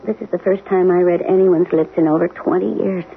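A woman's voice: on the low side, steady tone, a little fast, gravelly. An actress playing a wry, plain-spoken middle-aged wife and former showgirl in a radio drama. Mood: hurt, bitter. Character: frank, wounded middle-aged wife, former chorus girl. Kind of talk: 1970s radio drama acting. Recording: lo-fi phone-like old radio broadcast, music behind.

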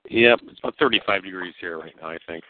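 A man's voice: sits low, quick pace. A man from Wisconsin chatting casually in a folksy way, filling his talk with "you know". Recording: dry narrow phone line.

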